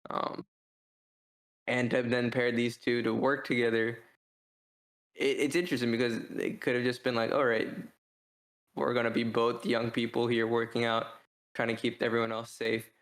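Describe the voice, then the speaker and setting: A man co-hosting a podcast, talking through a book review in a casual, rambling, halting way as he thinks out loud. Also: mid-range voice, little pitch movement, poor audio. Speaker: podcast co-host.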